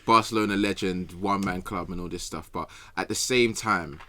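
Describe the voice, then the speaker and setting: A man's voice: deep voice, moderate pace, bright, forceful tone, no room sound. A young man with a London accent in a casual football debate, emphatic and conversational with plenty of slang. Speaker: podcast guest pundit.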